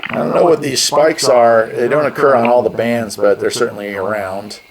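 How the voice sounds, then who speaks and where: A man's voice: mid-range voice, fairly even intonation, moderate pace, a crowd in the background. A man casually talking through a hands-on radio demonstration, step by step. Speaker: radio reviewer. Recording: clear radio static behind the voice. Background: shortwave radio noise.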